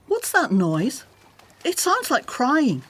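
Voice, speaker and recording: An actress playing a willful, commanding Egyptian princess in a radio drama, haughty but with a tender streak. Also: female voice, sits high, wildly swooping pitch, slow pace, background noise, full character voice. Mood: imperious. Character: imperious, willful Egyptian princess, softening to babies.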